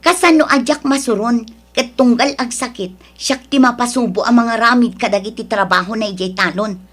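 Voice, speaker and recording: An Ilocano woman acting a fed-up, complaining wife in an audio drama, in a husky voice. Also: on the high side, moderate pace, fairly bright tone. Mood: exasperated.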